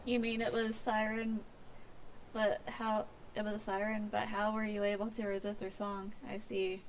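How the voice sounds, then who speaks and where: A woman's voice: mid-range voice, monotone, normal speed, slight background noise. A woman streaming a fantasy game, reading its dialogue aloud theatrically and stumbling now and then over words. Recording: music behind the voice.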